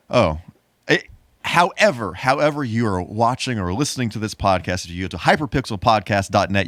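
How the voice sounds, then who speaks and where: A man with a fairly low voice hosts a casual podcast. His delivery is playful and a bit goofy, swinging up and down in an animated way. The sound is dry and close. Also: dry recording. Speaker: podcast host.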